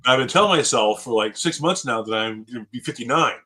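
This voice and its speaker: A man's voice: mid-range voice, expressive, fast talker, pushed and bright. A man in his late fifties chatting casually on a live stream, rambling with many fillers and frequent laughs. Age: 50s. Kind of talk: casual chat.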